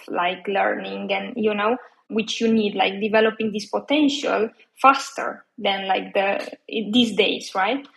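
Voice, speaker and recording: A woman in her thirties, originally from Romania, speaks as a guest in a relaxed interview. Her talk is chatty and loose, full of fillers and restarts. Her voice sits at an ordinary middle pitch. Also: creaky, low-quality recording. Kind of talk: casual interview.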